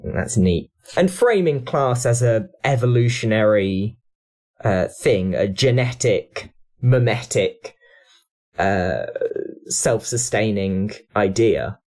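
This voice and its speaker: A man's voice: lowish voice, expressive, slow, darkish tone. A man talking reflectively and analytically as a panellist on a discussion podcast. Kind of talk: discussion and review podcast talk.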